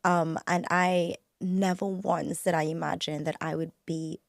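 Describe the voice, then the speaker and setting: A woman's voice: lowish voice, little pitch movement, a little slow, gravelly, no echo, studio quality. A woman from Trinidad, in her thirties, speaking as a guest on a podcast in an earnest, reflective way, with many ums and likes. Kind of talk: casual interview chat.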